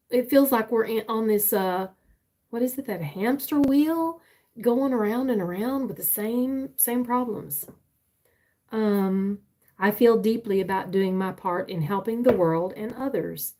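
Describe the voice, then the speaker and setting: A woman's voice: mid-range voice, dark tone. Older woman, a writer reading her stories and chatting live, meandering and hesitant, recorded on a tablet. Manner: rambling, hesitant, many fillers and restarts. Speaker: author and live streamer. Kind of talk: reading own writing and casual live chat. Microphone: tablet used for live streaming.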